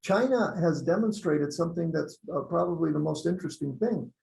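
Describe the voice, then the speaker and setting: A man with a gravelly, somewhat high voice talks as an academic panelist, stopping often on fillers as he works through his points. The sound is echoey and roomy. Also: animated, fairly quick, a little mellow. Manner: hesitant, many fillers, conversational. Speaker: panelist, survey director. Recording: echoey room.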